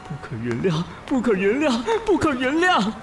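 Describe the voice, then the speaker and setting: Melodramatic, fussy city snob, wildly swooping. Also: male voice, very high voice, huge pitch swings, moderate pace, fairly bright tone, soft background music, exaggerated voice. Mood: outraged, hysterical. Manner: melodramatic, sarcastic, swinging from muttering to shrieking. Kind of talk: radio drama acting with inner monologue.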